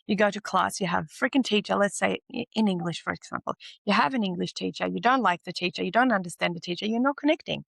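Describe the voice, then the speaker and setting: A woman from Sweden gives blunt, insistent advice and hammers points home by repeating them and swearing freely. Her voice has a gravelly fry to it. The sound is dry and close, with no room around it. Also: mid-range voice, quick pace, few pauses, a bit bright, dry recording. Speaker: podcast host and teacher. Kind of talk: motivational monologue.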